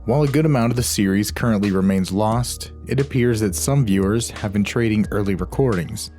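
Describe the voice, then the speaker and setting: A man's voice: fairly low pitch, fairly quick, a little mellow, soft background music. A man giving scripted narration for a countdown video.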